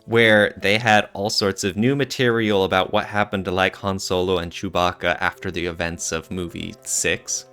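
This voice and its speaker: A man's voice: sits low, level tone, normal speed, few pauses, sharp tone, clear, resonant tone, faint music behind. A man co-hosting a podcast, explaining things in a casual, thinking-aloud way. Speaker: podcast co-host.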